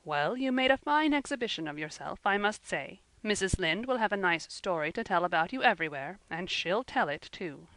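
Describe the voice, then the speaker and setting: A woman's voice: fairly low pitch, expressive, a little fast, few pauses, fairly bright tone, dry recording, poor audio. A woman voicing a stern, dry-witted spinster in her fifties in an audiobook, scolding in a firm, dry manner. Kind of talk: audiobook character voice.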